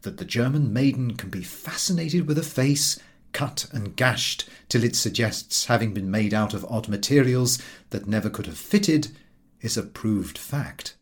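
A man narrating a literary essay as an audiobook reader, in measured, emphatic, oratorical phrasing. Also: medium pitch, animated, breathy edge.

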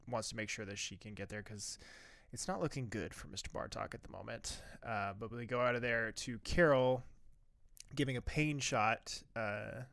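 Bright dry-sounding man recapping an episode. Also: mid-range voice, no echo. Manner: stammering restarts, joking asides. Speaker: podcast co-host. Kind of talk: casual chat recapping a TV episode.

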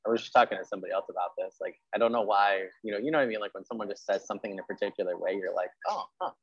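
Low-voiced, fast-talking man hosting. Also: husky, no echo, highs cut off. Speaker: podcast host, interviewer. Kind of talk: casual interview chat.

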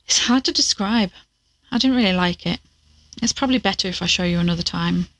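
A woman's voice: fairly low pitch, brisk, fairly bright tone, no echo. An actress playing a guarded, uneasy woman troubled by bad dreams in an audio drama, reticent and questioning. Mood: guarded, weary.

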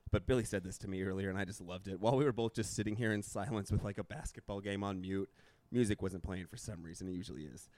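A young man co-hosting a comedy podcast, his voice slightly raspy, rambling and joking in casual chat. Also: low-pitched, quick pace, darkish tone, no echo. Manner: joking, rambling, laughs often. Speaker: podcast co-host and stand-up comedian.

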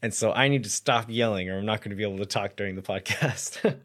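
Raspy, hoarse low-voiced man. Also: fast, fairly bright tone. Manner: analytical, thinks aloud with fillers. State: hoarse from yelling. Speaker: podcast co-host. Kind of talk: casual sports podcast chat.

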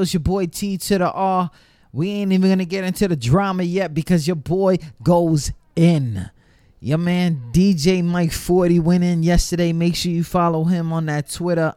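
A man with a high voice hosting online radio as a DJ, giving slangy, repetitive shout-outs. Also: smooth, no room sound. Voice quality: high-pitched voice. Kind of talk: hype radio shout-outs over music. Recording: music playing under the voice. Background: music.

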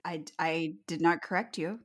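A woman's voice: low-pitched, steady tone, normal speed. A woman co-hosting a casual podcast, wisecracking and swearing freely. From Iowa. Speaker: podcast co-host. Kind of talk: casual true-crime podcast chat.

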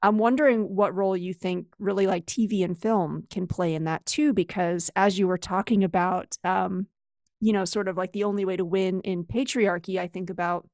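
A woman's voice: fairly low pitch, a little mellow, no echo, studio quality. A middle-aged woman hosting a podcast, talking conversationally and thoughtfully with plenty of filler words. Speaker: podcast host. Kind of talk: podcast interview.

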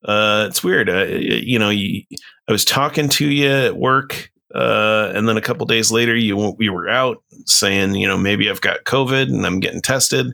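A man's voice: lowish voice, moderate pace, vocal fry, pushed and bright. A man co-hosting a podcast in casual chat, hesitant and full of fillers. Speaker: podcast co-host.

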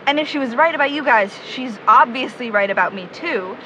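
A woman's voice: fairly high pitch, animated, fairly quick, dull audio, slight background noise, lightly acted. A woman playing an earnest, insecure young woman in an audio drama, with a hesitant, natural delivery. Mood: doubtful, discouraged. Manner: hesitant, self-doubting.

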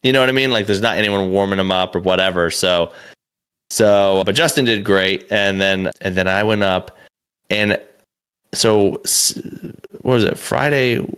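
A man's voice: lowish voice, moderate pace, fairly bright tone, clean tone. A young man, a comedian and podcast co-host, telling a story in a loose, rambling way, full of "like" and restarts, with casual swearing. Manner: rambling, lots of "like" and restarts, profane.

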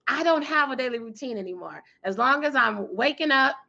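Loud, bright-voiced businesswoman guest. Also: high-pitched, fairly quick, vocal fry, muffled recording. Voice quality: loud, powerful voice. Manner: energetic, emphatic, laughs often. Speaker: guest, PR firm founder and speaker. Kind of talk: interview.

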